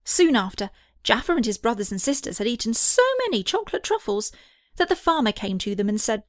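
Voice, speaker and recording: A woman's voice: on the high side, big pitch swings, a little fast, no room sound, studio quality. A woman narrating a scripted children's story as an audiobook reader.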